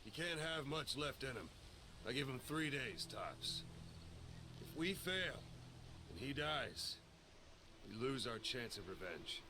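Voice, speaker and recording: A man playing a seasoned military intelligence operative in a video game, briefing a soldier in a measured, commanding, dramatic way. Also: mid-range voice, big pitch swings, background noise. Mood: grave, commanding. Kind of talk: video game cutscene acting.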